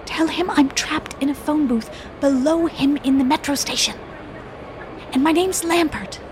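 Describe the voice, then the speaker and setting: An actress playing an anxious, earnest young widow on the run in a radio drama. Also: female voice, sits high, a little slow, noisy background. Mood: frightened, accusing.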